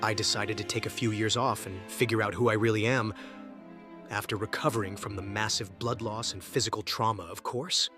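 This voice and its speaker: A man's voice: fairly low pitch, steady tone, brisk, lightly acted. Guy doing a bitter young vigilante ex-sidekick, taunting n sarcastic, music playing under. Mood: sardonic, calm. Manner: sardonic, taunting. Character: bitter, sardonic young male vigilante, estranged former sidekick. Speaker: voice actor in a fan comic dub. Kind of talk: dramatic superhero comic dub.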